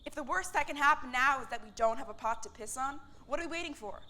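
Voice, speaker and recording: A woman's voice: high-pitched, fast talker, sharp tone. A woman playing a fiery factory worker in a staged reading, rallying others to revolt with insistent oratory. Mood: vengeful. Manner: oratorical, insistent, building rhetorical questions.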